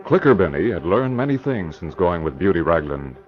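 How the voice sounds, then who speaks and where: An actor as the narrator of an old radio crime serial, giving dramatic scripted narration. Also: male voice, deep voice, very animated, fairly quick. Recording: muffled old radio recording, music behind.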